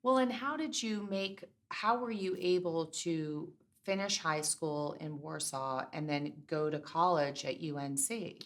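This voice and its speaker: A woman's voice: low-pitched, a little slow, few pauses. A middle-aged woman hosting a podcast, chatty and effusive with compliments for her guest. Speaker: podcast host. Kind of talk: podcast intro and interview.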